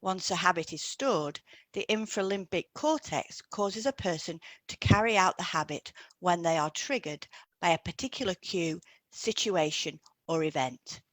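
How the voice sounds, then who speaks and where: A woman co-hosting an educational podcast, reading scripted explanations in a measured way. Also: fairly low pitch, no room sound. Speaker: podcast co-host, hypnotherapist.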